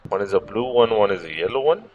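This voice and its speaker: A man's voice: lowish voice, animated, fast, darkish tone, lo-fi sound. A man giving casual gameplay commentary as a gaming streamer. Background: game music.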